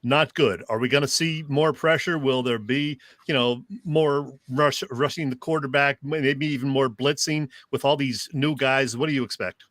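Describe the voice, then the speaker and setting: A man's voice: medium pitch, steady tone, normal speed, few pauses, fairly bright tone, no room sound, studio quality. A man hosting a sports talk show, putting casual questions to a guest.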